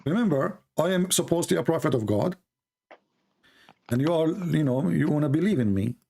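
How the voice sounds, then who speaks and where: A man's voice: a little high, animated, a little fast, vocal fry, dark tone, slightly rough. A man whose first language is Arabic, arguing his point insistently in a debate on a call. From Middle East. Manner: argumentative, insistent, repeats points.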